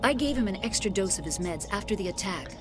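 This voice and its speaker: Woman playing an expressive, shaken lady scientist. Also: medium pitch, fast talker, music in the background, natural voice. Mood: earnest, explaining. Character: shaken, educated female scientist leading an excavation. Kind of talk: video game voice acting.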